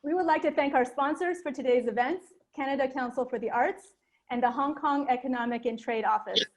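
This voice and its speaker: Woman from north america hosting a video call event, high voice, friendly. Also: a little fast, poor audio. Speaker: host. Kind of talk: hosting a live online event.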